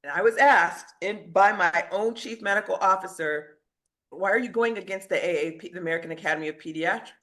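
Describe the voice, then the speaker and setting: An earnest woman physician, middle-aged, talking as an interview guest. She tells her story in a steady conversational way and breaks into short laughs at her own boldness. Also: lowish voice, fairly quick.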